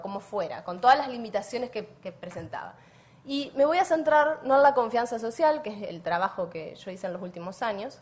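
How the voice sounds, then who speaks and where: A woman from Argentina with an Argentine accent giving a structured conference lecture, wry and clearly organized. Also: a little high. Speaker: academic lecturer.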